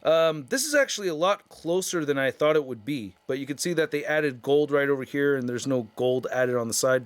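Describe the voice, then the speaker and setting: High-voiced man reviewing action figures. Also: a bit bright, no room sound, studio quality. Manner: chatty, informal, opinionated. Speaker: host of a toy review channel. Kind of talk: casual hands-on action figure review commentary.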